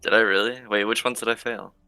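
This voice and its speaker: A low-voiced man with a gravelly creak talks casually as a game player. He is slangy and self-mocking, with music in the background. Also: fairly even intonation, fast talker, sharp tone, no echo. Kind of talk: casual chat.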